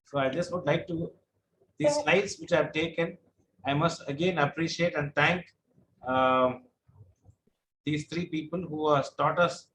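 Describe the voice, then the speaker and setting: A man's voice: a little high, a little slow, long pauses, a bit bright, slight background noise, low-quality recording. Man lecturing with a droning voice. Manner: patient, explanatory, some repetition. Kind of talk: online lecture Q&A.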